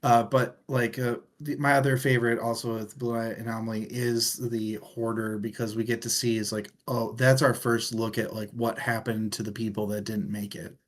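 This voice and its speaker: A man's voice: medium pitch, level tone, moderate pace, hardly any pauses. Male book-show co-host, mid-range voice, casual and enthusiastic delivery, unafraid to swear. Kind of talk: casual book chat, reading out listener comments.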